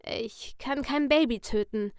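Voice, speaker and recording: A woman voicing a young female gang member who speaks softly and pleadingly. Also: sits high, measured pace, creaky, clear, resonant tone, no echo, clean studio sound. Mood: quiet pleading. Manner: soft, pleading.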